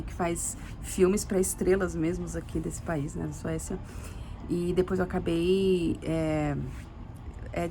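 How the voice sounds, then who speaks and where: A woman's voice: fairly low pitch, a little mellow, a little hiss or hum. A young adult Brazilian woman telling a personal story in a casual, rambling way, restarting often and adding tag questions. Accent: Brazilian Portuguese. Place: living in Sweden.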